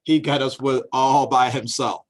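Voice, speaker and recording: A man's voice: medium pitch, steady tone, measured pace, natural voice. Guy reading as a greedy trickster man from an old folktale, sly, casual sales talk. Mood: sly boasting.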